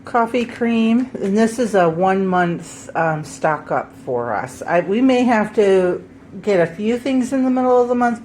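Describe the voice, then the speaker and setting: A woman's voice: medium pitch, a little mellow, a little hiss or hum. A woman vlogger with a stuffed-up head cold, chatting casually at home through a grocery haul and often correcting herself with ums. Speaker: homesteading vlogger.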